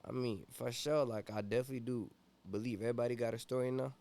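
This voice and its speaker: A man's voice: mid-range voice, fairly even intonation, quick pace, warm tone. A young man from Ethiopia, a rapper guest in a casual interview, talking laid-back and slangy with constant "you feel me" fillers.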